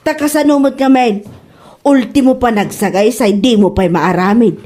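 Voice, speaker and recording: A woman's voice: a little high, normal speed, dark tone, lightly acted. A woman playing a meddling, disapproving older mother who nags dramatically, with an Ilocano accent in a comedy drama. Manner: nagging, dramatic.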